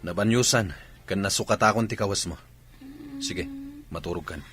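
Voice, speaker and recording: A man's voice: on the low side, normal speed, close to an everyday voice. A man with an Ilocano Filipino accent playing a jealous, hot-headed husband in an emotional radio drama. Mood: tender, weary. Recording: loud music under dialogue.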